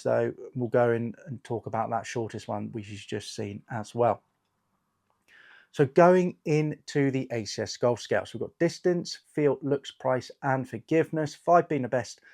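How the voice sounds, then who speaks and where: A man with a croaky voice from a cold, hosting a casual golf equipment review, chatty and reading out figures with lots of fillers. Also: medium pitch, darkish tone. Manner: chatty, lots of "you know" and "um", reads out numbers. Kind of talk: casual product review talk.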